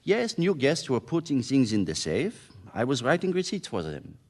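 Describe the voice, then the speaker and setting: A man's voice: mid-range voice, wildly swooping pitch, moderate pace. An actor playing a precise, earnest Frenchman in a radio mystery, with a French accent and careful, hesitant English. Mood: puzzled. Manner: formal, careful wording, hesitant searching for English words. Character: tidy, precise Frenchman, former soldier, earnest and puzzled. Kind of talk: radio mystery drama acting.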